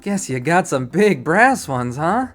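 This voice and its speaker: A man's voice: fairly high pitch, very animated, unhurried, fairly bright tone, pitched above the performer's own voice. A man voicing a brash, vain young college guy in a visual novel, with slangy, swaggering talk. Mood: cocky, teasing.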